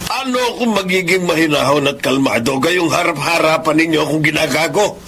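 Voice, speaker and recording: A man's voice: on the high side, a little fast, a bit bright, slight background noise. An actor playing an angry, wounded, stubborn old father in a Filipino radio drama, speaking with confrontational indignation. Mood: suspicious, irritated.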